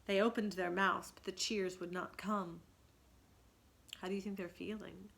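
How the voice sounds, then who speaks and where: A woman's voice: mid-range voice, steady tone, moderate pace, vocal fry, a bit bright. A woman reading a children's story aloud dramatically, in a teacherly way that invites listeners to think.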